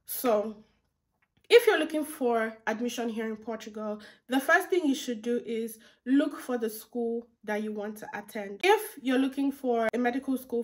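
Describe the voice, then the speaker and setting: A young woman in her twenties giving casual advice straight to camera, chatty and repetitive, calling her viewers "guys". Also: fairly high pitch. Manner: chatty, repeats phrases, talks to viewers as "guys". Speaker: vlogger. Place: indoor room with curtains behind.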